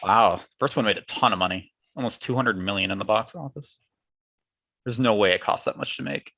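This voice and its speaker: Bright-voiced, fairly low-pitched man chatting. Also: a little fast. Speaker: podcast co-host. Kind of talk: casual chat.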